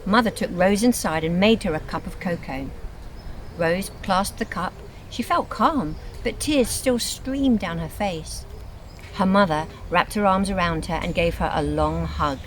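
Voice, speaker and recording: A woman reads scripted storybook narration in an expressive, dramatic storytelling manner. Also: fairly low pitch, slight background noise. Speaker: reader of a children's book.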